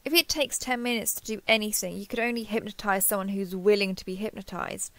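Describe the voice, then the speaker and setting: A woman's voice: mid-range voice, steady tone, a little fast, fairly bright tone, no echo, close to an everyday voice. A woman playing a kindhearted, awkward, easily flustered human in an intimate roleplay audio, hesitant and stammering as she asks curious questions. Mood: curious, overwhelmed. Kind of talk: intimate roleplay audio acting, one side of a conversation.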